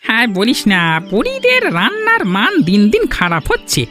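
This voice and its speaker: High, swooping village gossip. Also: female voice, huge pitch swings, moderate pace, fairly bright tone, heavily acted. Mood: mocking. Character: mocking, gossipy villager running others down. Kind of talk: cartoon fairy-tale dialogue. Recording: music behind the voice.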